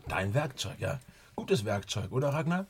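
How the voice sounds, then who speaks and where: An actor playing an easygoing commune leader in a radio play, speaking with measured, rhetorical and slightly patronising kindness. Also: male voice, medium pitch, very animated, a little hiss or hum, lightly acted. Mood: kindly, pronouncing. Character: easygoing, avuncular male leader of a squatter commune. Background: clattering dishes at a table.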